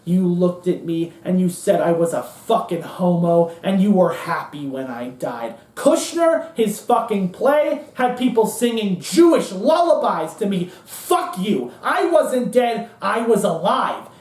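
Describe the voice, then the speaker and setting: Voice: medium pitch, very animated, a little slow, few pauses, darkish tone. A performer on stage voicing a bitter, gloating, foul-mouthed dead power broker in an emphatic, repetitive rant. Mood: bitter anger. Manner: ranting, emphatic, repetitive, profane. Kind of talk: theatrical monologue. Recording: roomy echo, distant mic.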